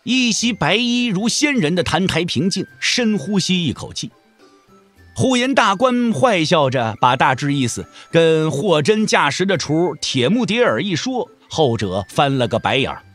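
A man narrates a martial-arts epic as a dramatic storyteller. His delivery swings widely in pitch, sitting fairly high for a man, with faint music underneath. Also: sing-song, measured pace, fairly bright tone.

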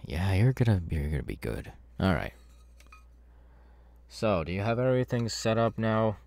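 A man playing a demon's masked henchman in an ASMR horror roleplay, chatty and halting, with mock-soothing menace. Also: lowish voice, expressive, darkish tone. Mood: smug, teasing. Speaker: ASMR voice actor.

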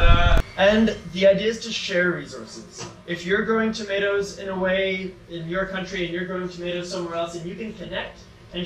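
A man giving an informal public talk about his travels, presenting to listeners indoors. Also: sits high. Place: motorcycle store cafe, indoors. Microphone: camera from across the room. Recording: roomy, distant camera mic, loud noise.

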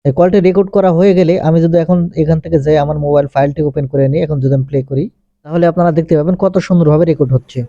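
A man's voice: fairly high pitch, fairly even intonation, racing, dark tone, dry recording. A man presenting a step-by-step tech tutorial as a voice-over, with repetitive, methodical phrasing. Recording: dull, lo-fi close recording.

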